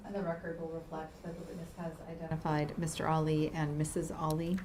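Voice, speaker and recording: A woman judge giving measured, formal instructions in a courtroom. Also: deep voice, level tone. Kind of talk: courtroom procedure. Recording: distant courtroom mic with noise.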